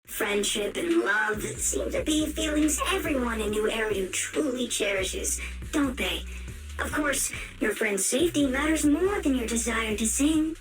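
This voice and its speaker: A woman's voice: on the high side, measured pace, soft background music, lightly acted, rough recording. A woman voicing a polite, sweetly insinuating wish-granting sprite in a video game, with a coaxing manner. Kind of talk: scripted video game voice acting.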